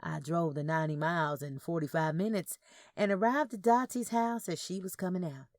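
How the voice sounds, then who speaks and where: A woman with a fairly low voice narrates audio fiction in the first person. Her delivery is measured, and the sound is close and dry. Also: no room sound.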